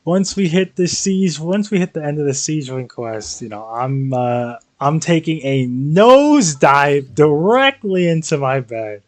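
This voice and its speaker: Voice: medium pitch, animated, measured pace, hardly any pauses, no room sound. A streamer chatting casually while playing a game, rambling with sweary, jokey asides. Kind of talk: casual livestream chat and game reactions.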